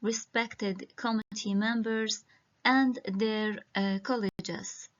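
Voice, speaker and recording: Woman presenting her research at a conference, speaking slowly with constant hesitations, on muffled lo-fi call audio. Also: mid-range voice, fairly even intonation. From Iraq. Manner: halting, with frequent hesitations. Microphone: video call.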